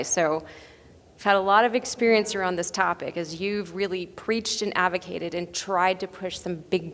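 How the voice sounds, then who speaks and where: A woman moderating a panel, introducing a guest and asking long questions. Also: on the low side. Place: conference hall. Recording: reverberant conference hall.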